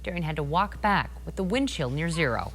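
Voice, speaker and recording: A woman with an American accent anchors the television news. Her voice sits fairly low but moves with lively intonation, over a faint bed of background noise. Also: a little fast. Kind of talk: scripted news reading.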